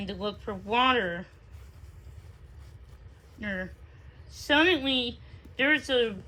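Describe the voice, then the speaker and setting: Female read-aloud narrator for a kids' book, high voice, unhurried and halting, with repeated words. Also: bright, forceful tone, poor audio. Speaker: storybook reader.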